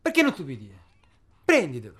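A man's voice: on the high side, wildly swooping pitch, quick pace, fairly bright tone, slightly rough, exaggerated voice. A man playing a coarse, pragmatic, pushy henchman in a radio drama, with a southern Italian accent and colloquial speech. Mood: pressing. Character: rough, pragmatic henchman called Toto, cynical and pushy.

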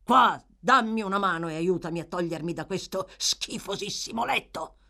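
A woman's voice: deep voice, animated, moderate pace, breathy edge. Woman voicing a growling, brutal, irascible male thief. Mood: weak, irritable. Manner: barking, impatient, menacing. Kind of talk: audiobook character voice.

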